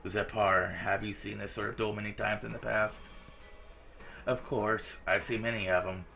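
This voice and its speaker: A man reads a visual novel aloud for a gaming video in a low, gravelly voice. His delivery stays fairly flat and informal, with game music playing behind him. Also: normal speed. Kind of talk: reading visual novel dialogue aloud with casual reactions.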